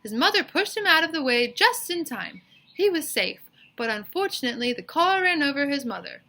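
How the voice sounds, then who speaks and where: A woman's voice: high-pitched, big pitch swings, fairly quick, sharp tone, voice pushed up, exaggerated voice. A woman voicing a kindly, encouraging female schoolteacher in a children's storybook. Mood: storytelling. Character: kindly female schoolteacher reading to her class.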